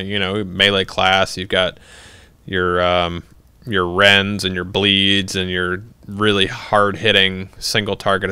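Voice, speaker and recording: A man's voice: fairly low pitch, vocal fry, pushed and bright, smooth, dry recording. A young man hosting a livestream, chatting casually as he answers viewer questions, rambling with lots of fillers and restarts and laughing easily. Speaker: livestream host.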